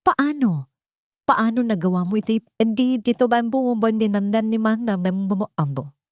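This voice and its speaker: A woman's voice: fairly high pitch, expressive, moderate pace, no echo, clean studio sound, voice pushed up, natural voice. A woman voicing a cold, vengeful wealthy woman in an audiobook, with a hard, controlled delivery. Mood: controlled anger. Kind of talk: audiobook character acting.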